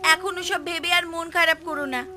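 A woman's voice: extremely high pitch, steady tone, moderate pace, background noise. A woman voicing a scolding but caring village wife in a Bengali cartoon, with a nagging, questioning manner. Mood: concerned, soothing.

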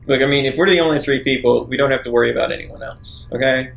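A man's voice: mid-range voice, steady tone, fast, gravelly, a bit bright. A man improvising casually in character as a player in a tabletop role-playing game.

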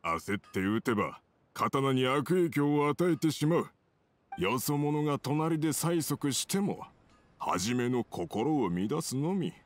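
A man voicing a gruff, grumbling old master swordsmith in an anime game, curt and scolding with old-fashioned speech. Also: mid-range voice, expressive, takes it slowly. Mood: stern.

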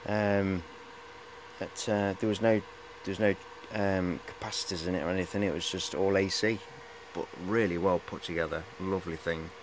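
Guy in his 40s, lowish voice, rambly matey hobbyist chat, bit of background noise on a handheld cam. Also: steady tone, moderate pace. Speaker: hobbyist showing high-voltage equipment. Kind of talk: casual hands-on show-and-tell chat. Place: cluttered indoor workshop. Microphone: camera at arm's length.

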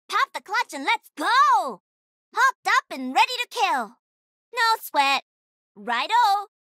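A woman voicing a cocky, playful young female pro gamer and mech pilot in a video game, with a sassy, confident, energetic delivery. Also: very high voice, no echo, clean studio sound, heavily acted. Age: young adult.

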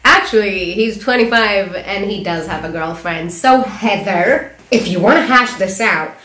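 A woman's voice: medium pitch, a little slow, sharp tone. Teasing young woman in an echoey room. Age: young adult. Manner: teasing, giggly, sarcastic asides. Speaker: reaction vlogger. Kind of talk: casual reaction commentary. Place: small room at home, indoors.

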